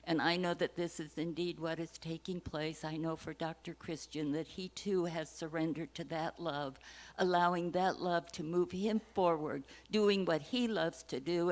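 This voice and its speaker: A woman leading a slow, solemn spoken prayer at a church service. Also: sits low, a little mellow. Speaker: prayer practitioner.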